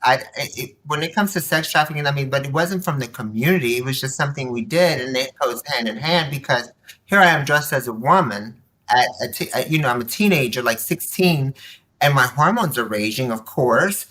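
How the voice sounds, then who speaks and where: A woman speaks candidly as an interview guest, in a very bright, forceful voice. She is animated and emphatic as she tells personal stories, slipping into quoted remarks. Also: very deep voice, fairly quick. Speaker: podcast guest, nonprofit board member. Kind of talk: candid personal storytelling in conversation.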